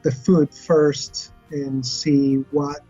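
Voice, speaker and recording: A man from Chile, a chef talking casually as a guest and often pausing to search for words. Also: fairly high pitch, level tone, mellow, husky, soft background music. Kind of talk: casual interview chat. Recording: dull lo-fi recording.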